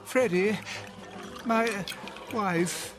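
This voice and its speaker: An actor in a radio drama playing a bewildered older husband who pleads with desperate earnestness, with music behind him. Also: male voice, sits high, drawn-out words. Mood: anxious. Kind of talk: audio drama acting.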